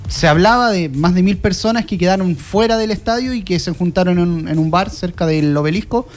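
Higher-pitched Chilean football reporter, measured. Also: male voice, faint music behind. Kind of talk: conversational match report.

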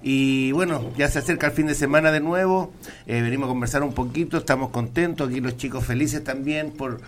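A man's voice: medium pitch, brisk, slight background noise. A Chilean man speaking as a guest in a casual radio interview, friendly, often repeating himself as he searches for words. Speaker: circus spokesman, radio guest. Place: radio studio.

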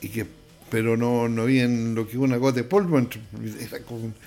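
A man hosting a radio show, chatting in a relaxed, reminiscing way. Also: medium pitch, brisk, faint music behind. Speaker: radio host. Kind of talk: casual radio chat.

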